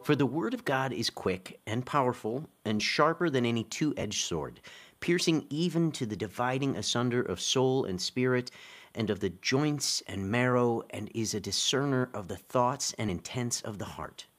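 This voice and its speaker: A man's voice: medium pitch, lively intonation, moderate pace, a bit bright. An actor playing a tentative, agreeable male Bible-study member in a radio drama. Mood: reading aloud.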